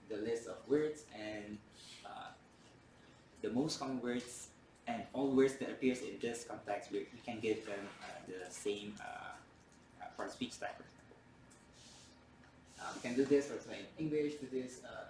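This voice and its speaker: A man whose first language is Indonesian gives a university lecture in a lowish voice, stopping often on fillers and restarting his sentences. He sounds far from the mic in a roomy hall, with faint room hum behind him. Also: darkish tone. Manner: hesitant, many fillers and restarts.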